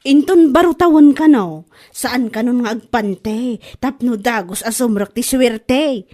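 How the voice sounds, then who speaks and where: A woman's voice: a little high, a little slow, darkish tone. A woman with an Ilocano accent playing a carefree spendthrift wife who makes playful excuses in a comedy drama. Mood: nonchalant. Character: carefree spendthrift wife Anita, unbothered by scolding. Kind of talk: comedy radio drama acting.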